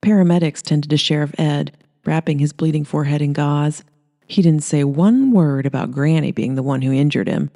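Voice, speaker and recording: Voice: medium pitch, moderate pace, vocal fry, warm tone. A narrator reading comedic horror fiction aloud as a scripted audiobook.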